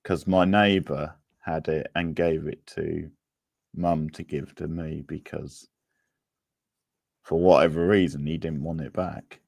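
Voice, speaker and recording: Bloke chatting on a quiz stream, slow and rambly, croaky voice, really dry close sound. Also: male voice, very deep voice, steady tone, a little mellow, no room sound. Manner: unhurried, rambling, replying to viewers. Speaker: livestream quiz host. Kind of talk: casual livestream chat.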